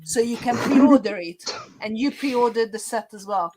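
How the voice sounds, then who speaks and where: High-voiced Hungarian woman in her thirties. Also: normal speed, dark tone, a little hiss or hum, poor audio. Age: 30s. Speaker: podcast guest and toy collector. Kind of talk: casual group chat.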